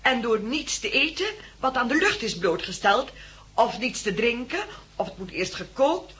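Dutiful nurse, Dutch, animated intonation, bright, polite and precise, vintage radio drama. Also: female voice, on the high side, moderate pace. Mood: earnest, dutiful. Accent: Dutch, Netherlands. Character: earnest, dutiful female nurse instructing survivors. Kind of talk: 1960s radio drama acting, formal address.

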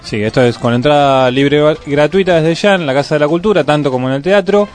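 A man with an Argentine accent co-hosting a community radio show, relaxed and teasing. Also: on the high side, quick pace, soft background music. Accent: Argentine Spanish. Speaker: radio co-host. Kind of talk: casual radio chat.

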